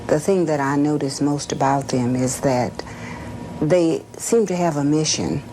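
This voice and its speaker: A middle-aged woman, an educator, giving formal interview answers in emphatic, measured phrases. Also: low voice, mellow, a little hiss or hum, low-quality recording. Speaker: school educator being interviewed.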